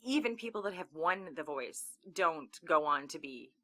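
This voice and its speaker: Bright-voiced Canadian woman host. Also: low voice, animated, moderate pace. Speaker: interview host. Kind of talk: casual interview chat.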